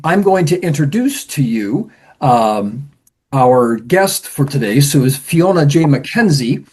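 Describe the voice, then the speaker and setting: A man hosting an interview as the band's associate conductor, talking with many pauses and fillers. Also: mid-range voice, darkish tone.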